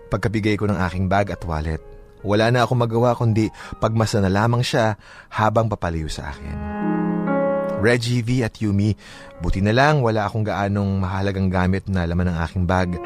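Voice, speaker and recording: A man with a Filipino accent, a radio host reading a listener's letter as dramatic narration. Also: medium pitch.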